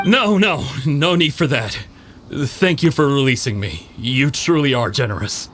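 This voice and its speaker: An actor playing a cowardly young thief in a game, begging and desperate. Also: male voice, medium pitch, animated, a little slow, a bit bright, soft background music. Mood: relieved. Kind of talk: game voice acting.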